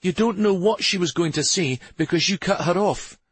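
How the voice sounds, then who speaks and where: A male narrator puts on an exasperated wife in a fantasy tale. The tone is pitched up and lively, with a husky edge, as she scolds bluntly with a sharp tongue. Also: measured pace, dry recording. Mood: exasperated, scolding.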